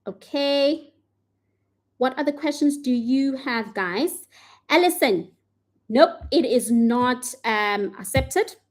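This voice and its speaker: A woman's voice: high voice, expressive. A woman hosting a live stream, answering viewer questions in a casual way and often checking in with "all right?" and "okay?". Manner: says she tends to speak too fast.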